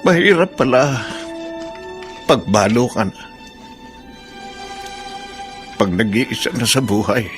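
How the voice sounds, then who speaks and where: Lalaking gumaganap na malungkot na matandang lolo, may musika. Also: male voice, medium pitch, lively intonation, measured pace, fairly bright tone. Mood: sad. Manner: plaintive, sorrowful. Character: lonely elderly widowed grandfather, hurt and self-pitying. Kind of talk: Filipino radio-style drama acting. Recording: music under the voice.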